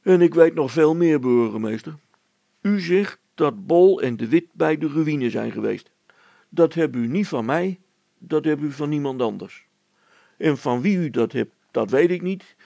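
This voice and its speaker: A man's voice: on the high side, expressive, unhurried, gravelly, dark tone, no echo. A man voicing an indignant, stubborn village policeman in a storybook, blunt and emphatic. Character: indignant, stubborn male village policeman, plain-spoken and earnest.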